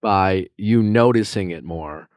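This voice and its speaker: A man's voice: low-pitched, unhurried, a little mellow, dry recording. A man hosting a podcast who talks callers through their disagreement, summing up each side and steering them straight to the point. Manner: direct, summarizing, steering the talk. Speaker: podcast host. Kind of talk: casual mediation chat.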